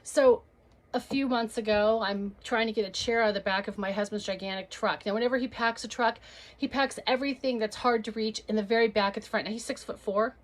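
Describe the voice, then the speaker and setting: A woman's voice: a little high, steady tone, brisk. A woman performing a stand-up comedy set to a webcam, telling stories and acting out the people in them. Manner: storytelling, acts out quoted voices.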